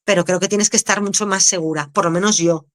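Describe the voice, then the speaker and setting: A woman, an actress, speaking with a Canarian accent, answering interview questions in a candid, expressive way and laughing easily. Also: fairly low pitch, fast talker. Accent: Canarian Spanish. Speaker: actress, guest.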